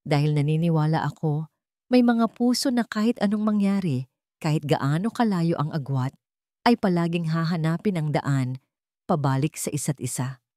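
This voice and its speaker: A woman voicing a gentle young woman who speaks softly and sincerely in a storybook romance. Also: low-pitched, moderate pace, no room sound, studio quality, close to an everyday voice. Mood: hopeful.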